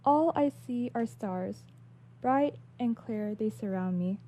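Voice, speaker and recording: A woman's voice: fairly high pitch, slow, mellow. A woman voicing a dreamy, playful young noblewoman archer in a storybook reading.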